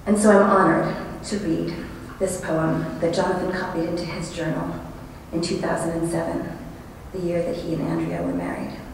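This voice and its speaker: A woman giving a prepared memorial tribute and poetry reading with measured, solemn phrasing. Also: sits low, vocal fry, darkish tone. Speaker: college friend speaking at a memorial. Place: large echoing hall at a memorial service. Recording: very echoey hall, distant, noisy ambience.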